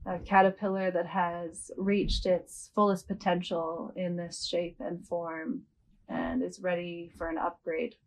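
A woman leading a guided yoga nidra meditation as a host, speaking gently and without hurry, with long pauses. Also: on the low side, little pitch movement, low-quality recording.

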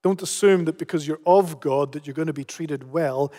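A middle-aged pastor delivers an earnest sermon, often repeating a word before a phrase gets going. His voice sits fairly high for a man. The recording carries the long reverb of a church hall. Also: moderate pace, mellow. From Spain. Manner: earnest, repeats words when starting a phrase.

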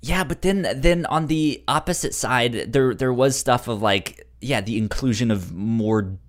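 A podcast co-host chatting casually about a series, in a halting way that often repeats words and starts over. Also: mid-range voice.

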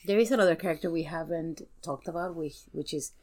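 A woman's voice: low-pitched, fast talker, a little mellow. A woman chatting casually as a guest on a podcast. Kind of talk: casual chat.

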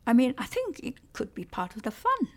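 A woman whose first language is Cantonese, talking thoughtfully in an interview, often halting and repeating words as she searches for them. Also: high voice, wildly swooping pitch. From Malaysia. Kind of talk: conversational interview.

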